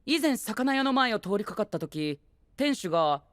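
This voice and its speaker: A man playing a prim, rigid young gentleman knight in an anime-style voice drama, formal and pompous, sprinkling in English exclamations. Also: sits high, sing-song, normal speed, no room sound, studio quality. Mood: insistent, self-assured.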